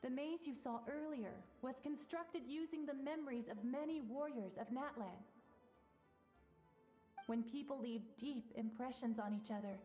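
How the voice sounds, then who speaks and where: Woman playing a calm, high-voiced memory spirit. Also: faint music behind, close to an everyday voice. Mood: calm, explaining. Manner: measured, composed. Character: calm, wise female memory spirit of an old friend. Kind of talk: video game voice acting.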